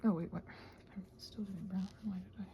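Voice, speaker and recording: A woman chatting casually while crafting, soft and often dropping to a near-whisper, hesitant and thinking aloud, with playful little exclamations. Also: mid-range voice, fairly even intonation, normal speed, muffled recording, slight background noise. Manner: hesitant, thinking aloud, trailing off mid-sentence. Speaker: host of a crafting ramble channel. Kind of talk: casual rambling craft chat, ASMR-like.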